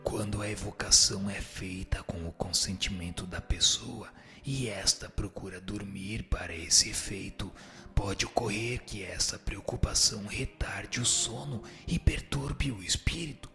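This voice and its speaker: A man playing a solemn spirit instructor in a scripted radio drama, answering in a measured, didactic, declamatory way. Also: lowish voice, gravelly, bright, forceful tone, heavily acted. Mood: solemn, instructive. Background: music.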